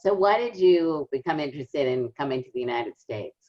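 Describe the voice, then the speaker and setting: A woman's voice: low-pitched, expressive, brisk, darkish tone, muffled recording. A woman hosting a podcast interview, asking short, direct questions. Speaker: podcast host.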